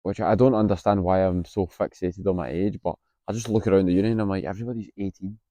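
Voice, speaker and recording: A Scottish man in his late twenties, a little husky from being unwell, talking candidly to camera outdoors with plenty of hesitant ums. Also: low voice, steady tone, fast, dark tone, dry recording. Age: 20s. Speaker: vlogger, university student. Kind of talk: casual vlog to camera. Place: outdoors on a campus.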